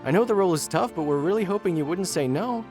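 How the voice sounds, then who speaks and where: A man voicing a kind, earnest young single mother in a story, lifting his voice higher for her. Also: expressive, fast, darkish tone, soft background music. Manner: raised, lighter storyteller voice.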